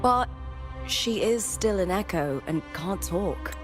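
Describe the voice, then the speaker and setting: Proud game herald, theatrical delivery, medium pitch, prominent music behind. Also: female voice, expressive, takes it slowly. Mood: proud, grand. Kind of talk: dramatic video game voice acting.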